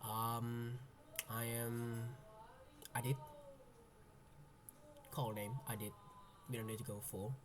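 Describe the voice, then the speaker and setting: A young man of eighteen speaks English, slowly and haltingly, stopping often to search for words. His voice sits at a middle pitch, soft and introspective. A faint hum of room ambience is heard behind him. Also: level tone. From Indonesia. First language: Indonesian. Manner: hesitant, halting, full of ums and restarts. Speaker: college freshman. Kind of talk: personal venting monologue.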